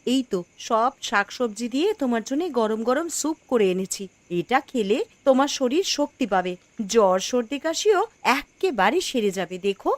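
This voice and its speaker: A woman playing a gentle, devoted young village wife in a cartoon, speaking in a tender, coaxing way. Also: fairly high pitch, expressive, moderate pace, no echo, natural voice.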